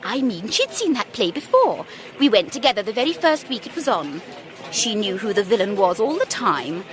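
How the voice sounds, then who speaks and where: A woman's voice: mid-range voice, big pitch swings, moderate pace, a crowd in the background, lightly acted. An actress playing an effusive, gossipy, affected British society woman in a theatrical radio drama. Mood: gushing, confiding.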